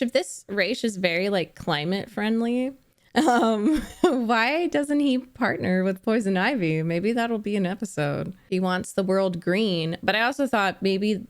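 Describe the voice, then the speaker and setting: A young woman hosting a reaction video, chatting casually, giggling and musing aloud. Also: medium pitch.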